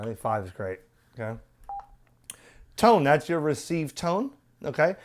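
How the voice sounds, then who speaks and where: A man presenting a casual, off-the-cuff hands-on product demo, conversational and opinionated, often tossing in rhetorical questions. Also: mid-range voice.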